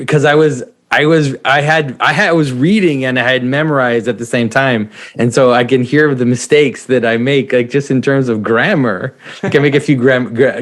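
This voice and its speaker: A man who is not a native English speaker, hosting a casual conversation and talking reflectively while searching for words. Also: mid-range voice. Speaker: host.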